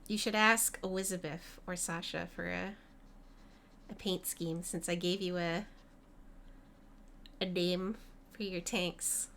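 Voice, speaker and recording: Slow-talking woman streamer, slightly sniffly. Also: on the low side, fairly even intonation, a bit bright, slight background noise. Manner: slow, chatty, reads viewer messages aloud. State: a little sniffly. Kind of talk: casual livestream chat while drawing.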